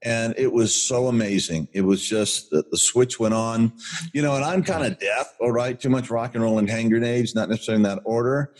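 An older man with a booming voice, co-hosting a podcast and telling a story in an enthusiastic, rambling way with many restarts. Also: brisk, darkish tone, poor audio. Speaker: podcast co-host. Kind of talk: casual rambling chat.

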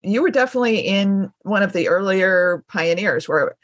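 A woman's voice: mid-range voice, lively intonation, normal speed, no room sound, studio quality. A woman speaking as the host of an interview podcast, introducing and questioning a guest.

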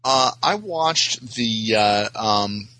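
A man chatting casually as a podcast guest, halting, hedging and correcting himself as he goes. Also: lowish voice, expressive, pushed and bright. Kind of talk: casual chat.

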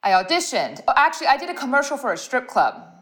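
A woman with a raspy, deeper voice and a Midwestern accent with a slight Southern touch, a former radio host telling stories in a casual interview, animated and laughing often. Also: fast. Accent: Midwestern American with a slight Southern touch. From Ohio, US Midwest. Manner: animated storyteller, laughs often, playful asides. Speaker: guest, brand owner and former radio host.

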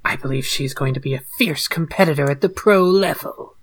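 A woman's voice: deep voice, brisk. A woman co-host reading forum posts aloud in a mock-serious tone during a casual comedic chat.

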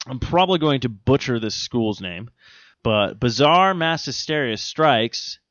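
A man's voice: mid-range voice, expressive, unhurried, a bit bright, dry recording. A man talks as a podcast co-host in casual banter. He rambles, halts and stumbles over his words, with a loose, profane streak. The recording is close and dry, with a slightly muffled top end. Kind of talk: casual banter and reading odd news items.

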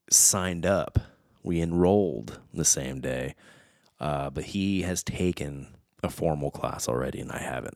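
Casual male talker, very low voice, unhurried delivery, dry close sound, reflective and rambling. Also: darkish tone, no echo. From United States. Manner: rambling, reflective, many fillers and restarts. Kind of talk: casual chat.

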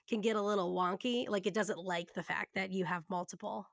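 A woman's voice: medium pitch, fairly even intonation, fairly quick, vocal fry, no room sound, clean studio sound. A woman hosting a podcast in casual conversation, talking in a candid, emphatic, chatty way with free swearing. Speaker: podcast host.

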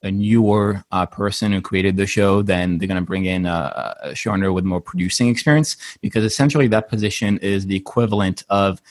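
A man from France with a French accent, a TV drama writer explaining things in a measured way on a panel webinar. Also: low voice, steady tone, no room sound.

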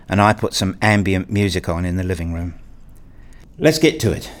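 A man's voice: lowish voice, close to an everyday voice. A man playing a nerdy, wry father who narrates his own story in an audio drama. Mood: musing. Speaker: narrator and lead actor in a radio play. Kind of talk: first-person audio drama narration and dialogue.

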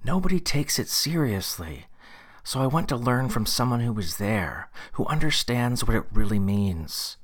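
A man acting an eager, awkward guy, animated delivery. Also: mid-range voice, moderate pace, close to an everyday voice. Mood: earnest, eager. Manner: earnest, slightly hesitant. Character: eager, awkward young man, a disco-obsessed time traveller. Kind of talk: acted dialogue in an audio story. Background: music.

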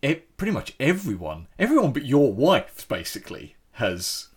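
A man tells a story in relaxed conversation. His pitch swings widely as he talks, and he often stops and restarts. The delivery is lively and expressive. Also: moderate pace, slightly rough. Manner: animated storytelling with frequent restarts. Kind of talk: casual chat.

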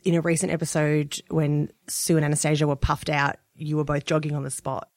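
A woman with a very low, gravelly voice hosts a podcast and puts curious, admiring questions to her guests. The recording is dry and close. She keeps the tone of a casual chat. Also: little pitch movement, a little fast, darkish tone, no echo. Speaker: podcast host.

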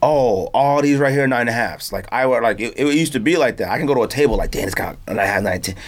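A man's voice: mid-range voice, fast talker. A man hosting a podcast in casual, slangy conversation, telling stories and acting out quotes. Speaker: podcast host.

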